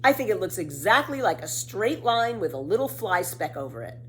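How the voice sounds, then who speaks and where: A woman's voice: medium pitch, big pitch swings, moderate pace, a little hiss or hum, natural voice. A woman voicing a cheeky, boastful young girl who spins tall tales in a children's storybook, with breezy, emphatic delivery. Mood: skeptical. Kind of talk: children's storybook read aloud.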